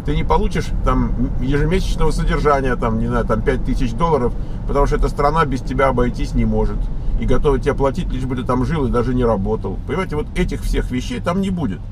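A man's voice: medium pitch, fast talker. A man casually talking to his viewers while driving, thinking aloud with many hesitations and fillers. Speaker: vlogger on immigration to America. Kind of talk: casual vlog monologue answering viewer questions. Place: car, driving. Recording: recorded in a moving car with road noise. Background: car and street noise.

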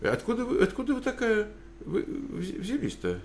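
A man's voice: high-pitched, wildly swooping pitch, normal speed, gravelly. A man interviewing a guest over a video call, asking questions hesitantly with many filler sounds and restarts. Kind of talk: casual interview.

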